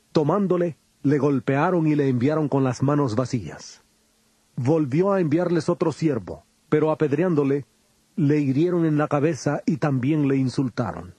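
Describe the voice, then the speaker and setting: A solemn male teacher of great authority for a scripture drama. The voice is soft-edged and warm, a little high, and recorded very dry. Each phrase is measured, firm and declamatory. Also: slightly rough, no room sound.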